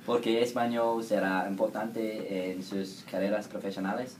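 A young man reading set interview questions in a plain, even way, recorded in an echoey room. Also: on the low side, measured pace. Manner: reads set questions, brief thanks. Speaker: student interviewer. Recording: echoey room, faint noise.

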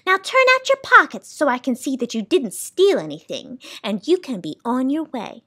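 A woman's voice: sits high, big pitch swings, normal speed, fairly bright tone, pitched above the performer's own voice. A woman reading a storybook as a fussy, imperious, wistful female keeper of sounds, with dramatic, bossy emphasis. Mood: impatient, scolding.

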